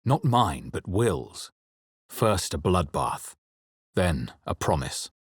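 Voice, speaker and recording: A man playing a grave, introspective adventurer hero in a fantasy video game, speaking in a measured, dramatic way. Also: sits low, sing-song, husky, dry recording, studio quality, heavily acted. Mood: grave, brooding. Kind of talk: scripted video game narration and character lines.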